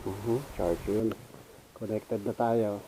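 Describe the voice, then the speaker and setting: A man's voice: lowish voice, flat delivery, slow pace, mellow, slight background noise, rough recording. A Filipino man giving a casual, unscripted gear review as a motovlogger, informally mixing in English. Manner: informal, switches between Tagalog and English.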